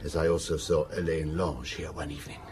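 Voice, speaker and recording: A man playing a polite, weary asthmatic suspect in an audio drama, short of breath and halting. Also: very deep voice, little pitch movement, a little mellow, a little hiss or hum. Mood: halting, anxious. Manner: halting, courteous.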